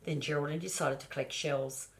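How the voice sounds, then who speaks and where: A woman's voice: sits low, steady tone, fairly quick. An older woman, a grandmother, reading a children's storybook aloud expressively and speaking directly to her grandchildren in asides.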